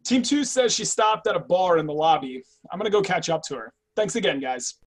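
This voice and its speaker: Drama reading: man plays calm male assessor, probing and businesslike, a touch high and rough-edged. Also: brisk. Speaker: actor in a screenwriters' readers theatre. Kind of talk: staged script reading.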